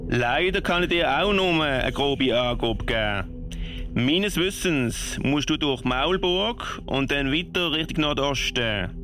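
A man's voice: a little high, lively intonation, takes it slowly, sharp tone, faint music behind, exaggerated voice. A man with a Swiss German accent playing a helpful, weary guide in an amateur radio play.

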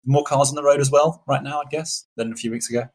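A man's voice: medium pitch, steady tone, fast. A man hosting a podcast in a conversational interview style. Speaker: podcast host.

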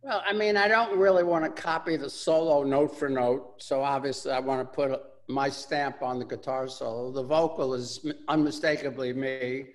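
An older man with a Bronx accent, a guitarist talking about his music in a casual interview, relaxed and rambling with many fillers. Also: medium pitch, moderate pace, hardly any pauses. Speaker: guitarist guest.

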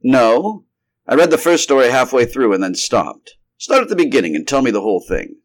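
Man voicing a brusque, animated, learned scientist. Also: mid-range voice, brisk, voice pushed up. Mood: impatient. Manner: emphatic, wordy and learned. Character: brusque, cheerful big male scientist, impatient and skeptical. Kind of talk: acted story dialogue.

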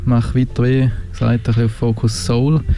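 A man from the Canton of Zurich with a Swiss German accent, talking casually as a radio DJ between records, relaxed and rambling with many hesitations. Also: mid-range voice, fairly quick. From Switzerland, Canton of Zurich.

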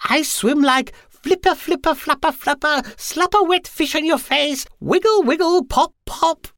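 A man voicing a vain, haughty female witch in a storybook, with a shrill, bossy, dramatic manner. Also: measured pace, a bit bright, no room sound, clean studio sound, full character voice. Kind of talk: storybook character voice.

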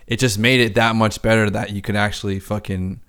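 A man's voice: lowish voice, little pitch movement, brisk, a bit bright, smooth. A man who works as a DJ, chatting casually on a podcast, rambling with lots of filler words and swearing freely.

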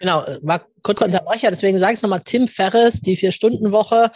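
A man's voice: sits high, fast talker, husky, no echo, clean studio sound. A man hosting a podcast interview, asking friendly, loosely phrased questions.

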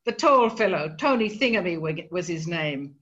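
A woman's voice: on the low side, animated, normal speed, fairly bright tone, dull audio. A woman with an Australian accent voicing a scornful older Dublin mother from a short story, reading the lines aloud in a lifted, scolding tone.

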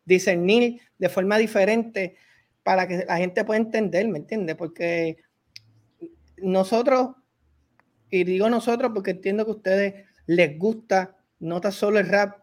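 A podcast host with a Puerto Rican accent, talking loosely and often circling back with repeated words. The voice sits at a middling pitch, neither high nor low, in an easy conversational flow. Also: unhurried. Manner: rambling, repeats words while gathering thoughts. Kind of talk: casual discussion.

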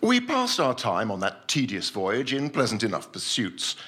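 A male actor performs a self-important gentleman scientist giving his formal report of a sea voyage. His voice is husky, with a very animated rise and fall. He is grandiose and deliberate, in the manner of a theatrical short story read on stage. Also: medium pitch, full character voice. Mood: boastful, proud. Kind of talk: dramatic first-person short story reading at a live night.

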